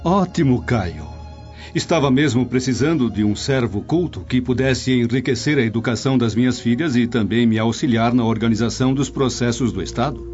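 Roman nobleman acted by a man, commanding and formal, mid-pitched, light music underneath. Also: normal speed, darkish tone, close to an everyday voice. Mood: impressed. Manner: lordly, formal. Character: authoritative Roman nobleman and father, curious, impressed. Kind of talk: radio drama acting. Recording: dull lo-fi audio with music.

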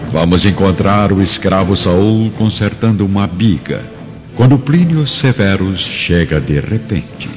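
A man's voice: deep voice, expressive, a little slow. A Brazilian man narrating a radio drama in a dramatic scripted style. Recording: muffled, long reverb, loud music behind. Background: music.

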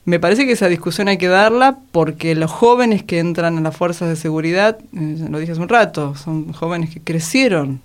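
A woman with a Rioplatense Spanish accent explaining as an expert radio guest, deliberate, often checking with short tag questions. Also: lowish voice. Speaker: interview guest, academic expert. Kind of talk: explanatory radio interview talk.

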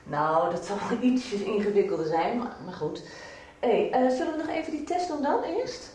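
A woman acting a calm, frank doctor in a radio soap. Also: mid-range voice, moderate pace, lightly acted. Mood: casual, practical. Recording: echoey room, faint noise.

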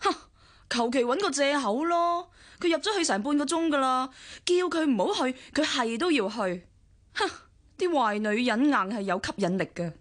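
Naggy hong kong cantonese big sister, highish voice swinging all over, sarcastic radio drama grumbling. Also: female voice, lively intonation, moderate pace. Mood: disgruntled. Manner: sharp-tongued, scornful, grumbling. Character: nagging, sarcastic, disapproving married older sister.

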